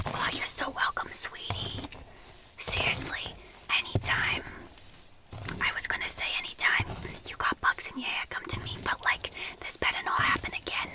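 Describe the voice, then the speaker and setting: A woman's voice: normal speed, low-quality recording. A woman whispering close to the mic as an aunt combing out lice, chatty and reassuring, with gossipy asides. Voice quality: whispered throughout. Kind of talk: whispered ASMR roleplay.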